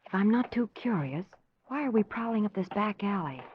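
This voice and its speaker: A poised, quick-witted woman sidekick acted by a woman in a radio mystery. She is arch and inquisitive, her pitch moving freely, on a muffled vintage recording. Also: expressive, a little hiss or hum, natural voice. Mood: playful. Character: witty, inquisitive female companion to a sleuth. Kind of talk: old-time radio drama acting. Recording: dull old radio recording.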